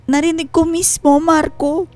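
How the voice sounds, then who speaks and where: High-voiced hurt, jealous wife. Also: female voice, little pitch movement, darkish tone. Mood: angry, wounded. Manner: emotional, halting, accusing. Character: hurt, jealous wife confronting her husband. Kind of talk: radio drama acting. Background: background music.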